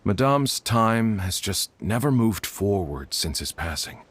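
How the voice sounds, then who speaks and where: Low-voiced, grave family doctor. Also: male voice, sing-song, husky, full character voice. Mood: solemn, regretful. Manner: formal, measured, solemn. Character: grave, measured male household doctor bearing sad news. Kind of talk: video game voice acting.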